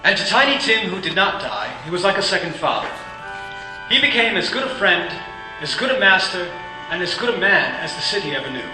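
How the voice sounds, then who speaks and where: A man narrating a radio play and reading the closing credits in an earnest, measured way. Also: high-pitched, sing-song. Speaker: narrator and announcer. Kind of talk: scripted narration. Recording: echoey room, faint music.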